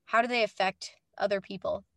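A woman's voice: mid-range voice, fairly even intonation, brisk, no room sound. Hesitant woman asking interview questions. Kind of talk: conversational interview.

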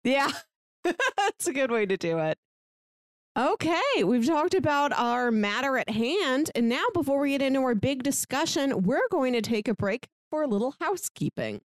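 A woman's voice: sits high, sing-song, normal speed, dry recording, clean studio sound. A woman co-hosting a podcast, chatting casually about books in a rambling, giggly way. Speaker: podcast co-host.

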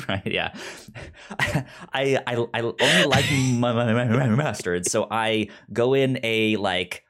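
A man's voice: mid-range voice, slow, fairly bright tone. A man co-hosting a casual chat show, with a stutter that repeats syllables and sounds as he talks. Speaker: podcast co-host.

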